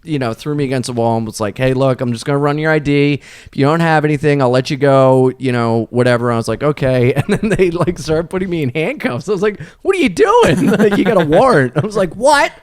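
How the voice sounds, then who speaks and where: A man's voice: a little high, expressive, fairly quick, hardly any pauses, no room sound, clean studio sound. Man laughing through rambling stories. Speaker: comedian guest. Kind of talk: casual podcast storytelling.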